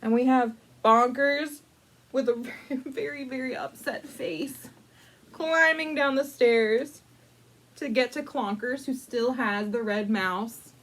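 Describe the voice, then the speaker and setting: A woman hosting a casual storytime, chatty and informal, with playful, dramatic emphasis and drawn-out words as she tells a picture-book story. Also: on the high side, fairly bright tone. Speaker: librarian storytime host.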